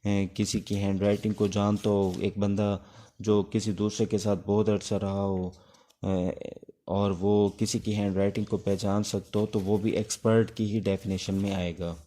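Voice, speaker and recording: A man with a Pakistani accent giving an informal exam-prep law lecture, explaining things step by step with hesitant pauses. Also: fairly low pitch, flat delivery, dark tone. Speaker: law teacher. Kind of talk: informal exam-prep lecture in Urdu mixed with English legal terms.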